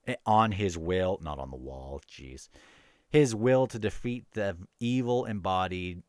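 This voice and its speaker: Guy with a lowish voice reviewing a book, reads bits out, stops to fix himself, dry close sound. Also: expressive, measured pace, dry recording. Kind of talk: casual book review with passages read aloud.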